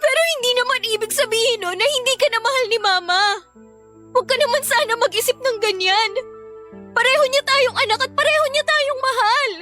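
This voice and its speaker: A woman's voice: extremely high pitch, brisk, music in the background. A woman playing a hurt, affectionate younger sister in a Filipino radio drama, pleading earnestly with a Filipino accent. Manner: plaintive, earnest.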